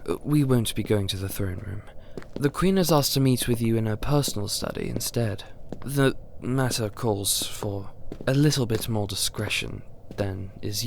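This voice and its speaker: A man's voice: on the low side, normal speed, creaky, hardly any pauses. A man plays a royal chamberlain in a fantasy audio drama. He is courteous and deferential, picking his formal words with some hesitation. Faint noise and ambience sit behind his voice. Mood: polite, hesitant. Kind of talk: fantasy audio roleplay acting.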